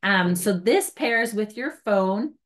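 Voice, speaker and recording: A woman's voice: mid-range voice, lively intonation, slow pace. A woman with a mid-range voice gives an informal training walkthrough. She explains each step in a casual, chatty manner, like a trainer talking to her guides, and drops in light self-mocking asides. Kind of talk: informal hands-on device tutorial.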